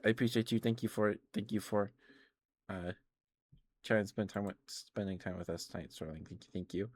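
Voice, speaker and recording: A man's voice: fairly low pitch, fairly even intonation, brisk. A man streaming casual ASMR chat about video games, rambling and hesitant as he trails off and corrects himself.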